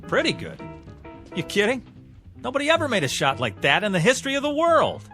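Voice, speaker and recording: An actor playing a boastful, easily riled pool shark obsessed with being the best, in an audio drama. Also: male voice, high-pitched, wildly swooping pitch, fairly quick, bright, forceful tone, faint music behind, exaggerated voice. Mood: agitated, indignant.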